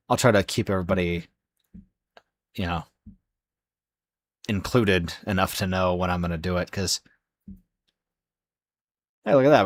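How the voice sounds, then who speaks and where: A young man talks to his live-stream chat in a very low, hoarse voice, sleepy after hours of talking. He rambles, with many fillers and restarts. The sound is dry, with no room echo.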